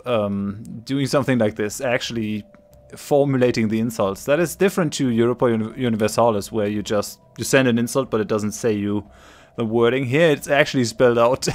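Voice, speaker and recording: A man's voice: medium pitch, normal speed. A man with a cold narrating his strategy game as a let's play host, thinking aloud with many ums and restarts and laughing readily. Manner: laughs readily between remarks. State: has a cold. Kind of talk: casual gameplay commentary.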